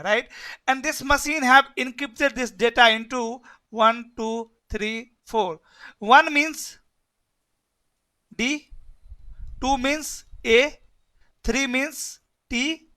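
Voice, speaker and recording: Bright-voiced man teaching networking. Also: extremely high pitch. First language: Hindi. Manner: repetitive, keeps asking "yes or no?". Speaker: networking instructor. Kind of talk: live online class lecture.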